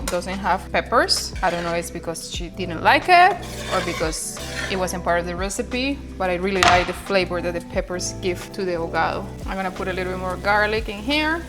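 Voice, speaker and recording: Chatty Colombian woman hosting a cooking video. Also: medium pitch. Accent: Colombian Spanish. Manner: warm, chatty, guiding viewers step by step. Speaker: cooking channel host. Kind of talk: cooking vlog demonstration. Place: home kitchen.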